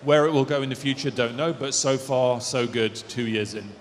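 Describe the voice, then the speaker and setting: A man speaking in a measured, businesslike way as a panelist. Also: mid-range voice, steady tone. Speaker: league commissioner. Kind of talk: conference panel talk. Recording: reverberant hall.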